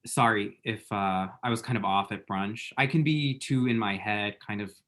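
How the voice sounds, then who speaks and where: A man playing a flustered, lovesick young man at a comedy screenplay read, halting and awkward. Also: fairly low pitch, fairly bright tone, lightly acted. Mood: sheepish. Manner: halting, awkward, self-mocking.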